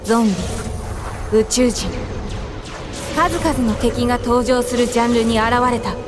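Woman as dramatic, high-toned trailer narrator. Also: measured pace, natural voice. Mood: dramatic, suspenseful. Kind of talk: dramatic commercial voice-over. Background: music.

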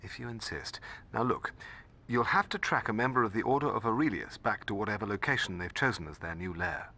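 A formal, creaky-voiced scholarly mentor. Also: male voice, lowish voice, animated, pushed and bright, heavily acted. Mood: cautious, dry. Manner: formal, measured, earnest. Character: proper, cautious middle-aged male scholarly mentor. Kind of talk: video game voice acting.

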